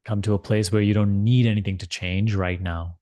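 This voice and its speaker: A man with a fairly low voice leads a guided meditation in a dry, close sound. He is measured and deliberate, often repeating a phrase and leaving space between thoughts. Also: steady tone, dark tone, dry recording. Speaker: meditation teacher leading a guided meditation. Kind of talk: guided meditation instruction, spiritual talk.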